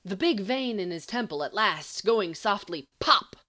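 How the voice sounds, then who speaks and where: Woman reading fiction out loud, super animated pitch, kinda wry and dramatic. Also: measured pace. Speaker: amateur audiobook reader. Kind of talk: expressive scripted fiction narration.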